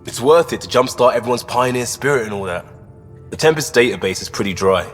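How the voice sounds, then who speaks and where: A man's voice: medium pitch, expressive, brisk, in a large hall, faint music behind, natural voice. An actor playing an easygoing, wry male starship crew member in a video game, with a casual, dry delivery. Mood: wry, upbeat. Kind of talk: scripted video game acting.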